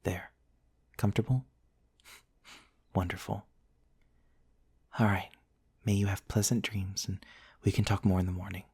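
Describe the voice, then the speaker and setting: A man playing a gentle, courteous centuries-old vampire prince in an intimate ASMR roleplay, soft-spoken and formal, with hesitant pauses. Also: low-pitched, level tone, creaky, husky, dry recording, full character voice. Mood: tender, soothing. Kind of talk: intimate ASMR roleplay, storytelling to one listener.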